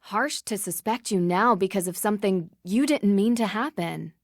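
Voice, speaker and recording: Woman acting young girl detective for a video game, calm n probing, measured, dry close sound. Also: medium pitch, no echo, close to an everyday voice. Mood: sympathetic. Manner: clear, measured, earnest questioning.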